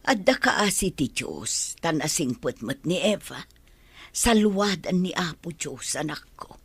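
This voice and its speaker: A woman playing a gentle, devout mother in a Filipino audio drama, with an Ilocano accent. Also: fairly low pitch, lively intonation, a little slow, husky, dry recording, full character voice. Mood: soothing. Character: gentle, devout mother comforting her child.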